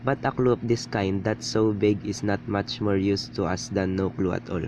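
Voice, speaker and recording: A man's voice: on the low side, fairly even intonation, moderate pace, darkish tone, slight background noise, lightly acted. A man playing a skeptical, patronizing police desk officer in a radio play, matter-of-fact and dismissive.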